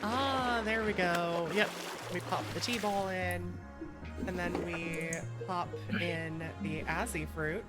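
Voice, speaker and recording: A woman with a slightly low voice is streaming a game. She talks casually, muttering to herself and dropping short exclamations as she works things out. Loud game music runs behind her throughout. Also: fairly even intonation, takes it slowly. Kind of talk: casual game stream chat, thinking aloud while playing.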